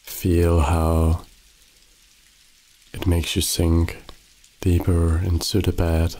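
A man guiding a sleep meditation in a slow, soothing, calm voice. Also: low voice, poor audio. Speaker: meditation guide. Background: rain sounds.

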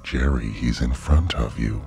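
Sinister virtual game guide, bottomless bass, gravelly fry, cold commands, audio drama, music underneath. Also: male voice, unhurried, dark tone, heavily acted. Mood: cold, commanding. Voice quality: gravelly, very deep. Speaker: actor.

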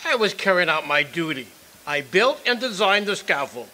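Male actor as indignant executioner, stammering, high forceful voice, animated radio-drama delivery. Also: moderate pace, exaggerated voice, rough recording. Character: flustered, indignant, dutiful dead executioner. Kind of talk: stage radio drama acting.

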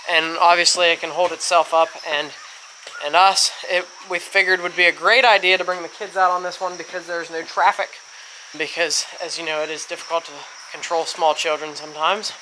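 A man's voice: high voice, bright, forceful tone, a little hiss or hum, low-quality recording. A man in his thirties vlogging outdoors by a covered bridge, chatting to viewers as friends while filming himself at arm's length. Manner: chatty, explains as he goes, addresses viewers as friends. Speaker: travel vlogger. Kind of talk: casual walk-and-talk vlog. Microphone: handheld camera at arm's length.

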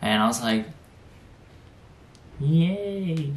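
A young American man, around twenty, talking casually and haltingly to camera in a vlog, pausing often mid-sentence. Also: a little high, very animated. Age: young adult, around 20. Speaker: vlogger. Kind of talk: casual reaction vlog, recounting an experience. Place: small bedroom, indoors. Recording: roomy, echoey bedroom sound.

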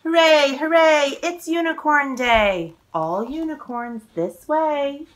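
A woman in her forties reading a children's picture book aloud, expressive and exclaiming, drawing out words for young listeners. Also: high-pitched, slow. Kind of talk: animated children's read-aloud storytelling. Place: living room at home, indoors.

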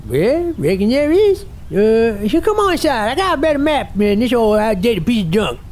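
A woman's voice: a little high, sing-song, normal speed, a little mellow. A woman playing a creepy rural old male gas station attendant in an audio drama, drawling with backwoods phrasing. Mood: menacing. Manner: drawling backwoods talk with "reckon" and "boy". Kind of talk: radio horror drama.

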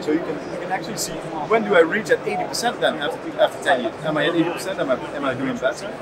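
A man's voice: a little high, a loud crowd. A man in his thirties demonstrating a product at a trade stand in a large exhibition hall, often restarting his words. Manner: halting, many repeated word restarts. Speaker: company representative. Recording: loud exhibition hall noise.

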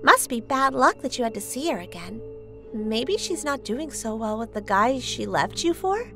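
A woman's voice: a little high, big pitch swings, normal speed, music in the background. A woman playing a sweet, devoted young fiancée in a manga dub, with an earnest, bubbly manner. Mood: reassuring.

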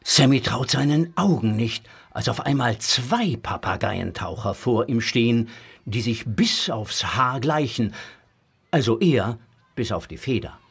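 A man's voice: medium pitch, expressive, unhurried, gravelly, a bit bright, slightly rough. A man narrating a children's audio story with dramatic, scripted storytelling.

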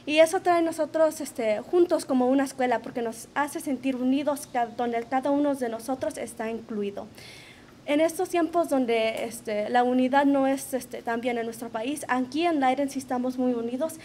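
A teenage girl, a student mentor, giving an earnest prepared speech to parents from a podium, pausing often on filler words. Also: high-pitched, mellow, slight background noise. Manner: earnest, many hesitations with "este". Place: school hall at a podium. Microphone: podium microphone.

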